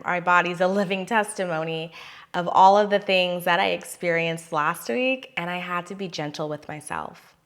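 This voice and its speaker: A woman's voice: lowish voice, fairly bright tone. A woman hosting a wellness podcast in a casual, chatty way, just back from losing her voice, laughing at herself as she talks. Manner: chatty, laughs at herself, drawn-out emphatic phrases. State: recovering from a lost voice. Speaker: podcast host.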